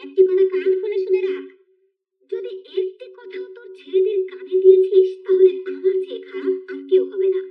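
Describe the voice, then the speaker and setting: A woman's voice: extremely high pitch, brisk, far from the mic. A woman voicing cruel, bossy young daughters-in-law in a children's moral-story cartoon, scolding and menacing. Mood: threatening. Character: cruel, bullying young daughters-in-law threatening an old mother-in-law. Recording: muffled, narrow phone-like sound.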